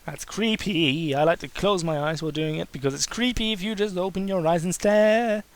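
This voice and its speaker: A man's voice: sits high, moderate pace, dry recording. A man doing a dramatic reading of fan fiction, breaking off into sarcastic, sweary asides.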